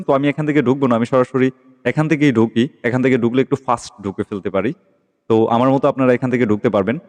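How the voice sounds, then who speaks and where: A man's voice: mid-range voice, darkish tone. A man hosts a casual tech tutorial in an ordinary mid-range voice. He explains patiently and keeps checking that the listener is following. His words carry a long, reverberant tail. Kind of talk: casual app tutorial voice-over.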